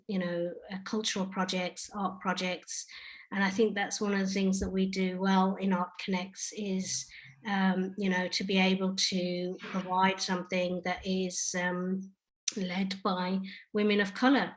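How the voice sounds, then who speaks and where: Woman from the UK, artist, Q&A on a video call, flat even delivery, halting with lots of fillers. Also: mid-range voice, rough recording. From United Kingdom. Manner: hesitant, many fillers and restarts. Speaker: artist and project lead. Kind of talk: answering audience questions on a webinar.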